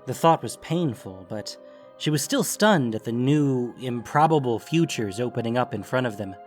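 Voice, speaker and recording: A man narrating scripted fantasy fiction in a grave, deliberate way with theatrical emphasis. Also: mid-range voice, expressive, faint music behind.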